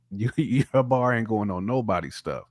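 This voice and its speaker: A man's voice: fairly low pitch, expressive, unhurried, dry recording. A man chatting casually as a podcast co-host, slangy and emphatic, repeating phrases to drive them home. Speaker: hip-hop podcast co-host. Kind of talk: casual chat.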